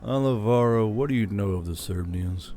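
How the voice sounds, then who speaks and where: A gruff, weary military commander with a low, dry grumble, as a male narrator puts him on. He talks in a lowered, subdued voice that sounds tired of his duties. Soft music runs quietly behind him. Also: moderate pace, close to an everyday voice.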